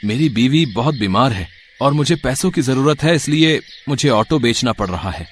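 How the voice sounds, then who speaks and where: A man's voice: mid-range voice, expressive, moderate pace, a little hiss or hum, lightly acted. A man playing a poor, loving husband in a moral story, speaking earnestly and tenderly. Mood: worried. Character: loving, poor husband and worried auto driver. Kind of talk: acted dialogue in a Hindi moral story.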